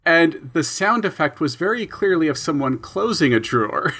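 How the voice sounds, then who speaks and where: A man chatting casually as a podcast guest about sound design, animated and laughing between phrases. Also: a little high, moderate pace, slightly rough. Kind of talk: casual chat.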